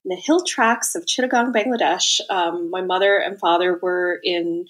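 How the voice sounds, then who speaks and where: A woman's voice: on the low side, moderate pace, rough recording. Bubbly woman guest. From United States. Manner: bubbly, laughing, chatty. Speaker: guest, opera singer. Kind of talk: casual interview chat.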